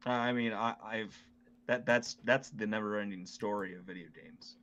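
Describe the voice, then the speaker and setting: A man with a slightly low voice chats as a gaming podcast co-host. His delivery is casual, and the recording sounds a little dull and muffled. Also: normal speed, fairly bright tone.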